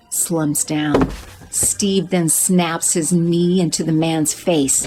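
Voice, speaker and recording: A woman narrating an audio drama in a steady, descriptive way. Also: on the low side, background noise. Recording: music bed under narration.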